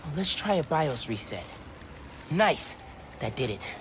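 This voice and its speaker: A young actor playing a young male superhero who talks in a lively, casual and enthusiastic way. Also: a little high, measured pace, noisy background. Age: young adult. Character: young male teen superhero, upbeat and techy.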